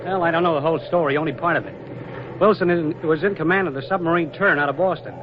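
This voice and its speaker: High-pitched, dutiful Navy lieutenant played by a man. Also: fast talker, close to an everyday voice. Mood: earnest, explanatory. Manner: crisp, deferential, earnest. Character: brisk, dutiful young male Navy lieutenant, admiral's aide. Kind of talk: 1940s radio drama acting. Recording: dull, noisy 1940s radio recording.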